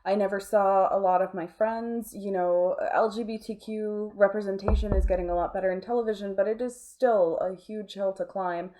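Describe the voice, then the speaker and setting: A woman's voice: medium pitch, fairly even intonation, normal speed, few pauses, noisy background. A woman talking casually as an interview guest, thoughtful and informal, often restarting her sentences. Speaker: interview guest, artist and voice actor.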